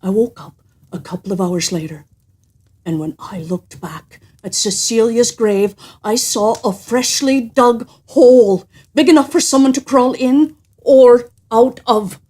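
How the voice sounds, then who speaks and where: A woman telling stories in a theatrical, suspenseful and deliberate manner, as a host would. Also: fairly high pitch, dark tone, slightly rough. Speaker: storyteller and host. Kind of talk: animated scripted storytelling.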